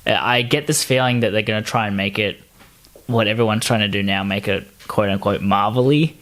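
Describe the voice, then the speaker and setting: A man's voice: fairly low pitch, fairly bright tone. Jokey man chatting casually on a podcast. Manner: jokey, quick to laugh. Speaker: podcast co-host. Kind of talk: casual pop-culture chat.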